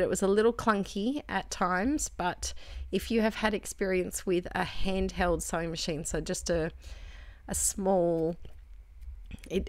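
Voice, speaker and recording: A woman from Australia chatting casually while making crafts, rambling and correcting herself, her voice a little croaky. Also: fairly low pitch, no room sound. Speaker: crafting vlogger.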